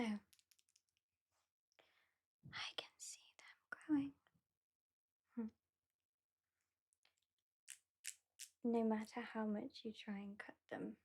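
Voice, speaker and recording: An aloof British vampire hypnotist, played by a woman in an ASMR roleplay. Her voice is mellow and whispery, a little high for a woman. She talks very slowly and deliberately, with a dry, dismissive edge. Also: exaggerated voice. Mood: calm, proud. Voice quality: soft, breathy, whispery. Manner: slow, deliberate, lightly dismissive.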